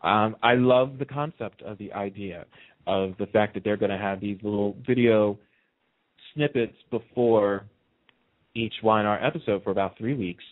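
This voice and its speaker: A man's voice: fairly low pitch, moderate pace. A man hosting a casual, opinionated radio chat about television, speaking emphatically and repeating phrases for stress. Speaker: radio show host. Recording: narrow, phone-like radio line.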